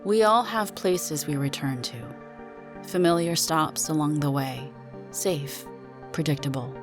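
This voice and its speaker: Low-voiced woman reading suspense fiction. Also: unhurried, over music. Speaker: narrator and performer of a story. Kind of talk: dramatic first-person suspense story reading.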